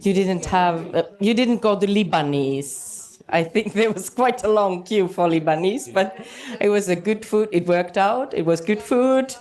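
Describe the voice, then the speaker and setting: Woman conference moderator, deliberate pace, loud. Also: mid-range voice. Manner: loud, addresses audience directly. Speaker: congress moderator. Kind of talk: live plenary moderation. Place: large conference hall.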